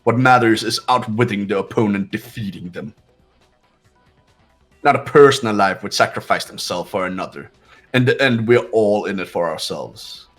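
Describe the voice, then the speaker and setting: A man's voice: lowish voice, normal speed, soft background music, natural voice. A man playing a cold, condescending heir. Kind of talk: fan voice acting of a game.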